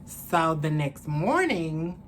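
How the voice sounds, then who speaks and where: Low-pitched young woman storyteller, noisy recording. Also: takes it slowly. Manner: candid, animated, profane slangy talk. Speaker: vlogger. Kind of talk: casual storytelling to camera. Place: indoors, small room. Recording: clearly noisy room ambience.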